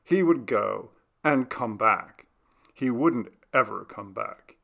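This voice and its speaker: Male audiobook narrator, mid-pitched, heavy vocal fry, unhurried literary fiction read. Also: lively intonation, fairly bright tone. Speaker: audiobook reader. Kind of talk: literary short story narration with acted dialogue.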